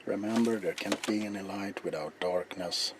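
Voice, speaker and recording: A middle-aged man speaking softly in ASMR style as he demonstrates a craft, halting and often slipping into a whisper. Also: on the low side, background noise. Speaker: ASMR video maker and host. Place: small cluttered indoor workshop.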